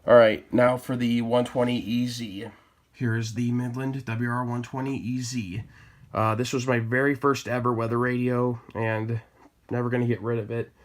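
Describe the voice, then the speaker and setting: A man's voice: medium pitch, level tone, moderate pace. Casual American man showing off gear. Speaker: hobbyist collector presenting his radios. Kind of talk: casual product show-and-tell.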